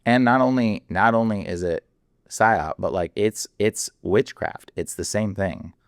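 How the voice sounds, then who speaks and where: A man speaking as a guest in a casual interview, animated and emphatic, full of fillers. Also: fairly low pitch, measured pace, no echo, clean studio sound.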